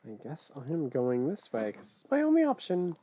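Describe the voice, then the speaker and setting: Streamer co-host, thirties, male, animated delivery, verbose and deadpan. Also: a little high, moderate pace. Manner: wordy, dry joking, teasing. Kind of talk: casual chat while playing an online board game. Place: home room, indoors.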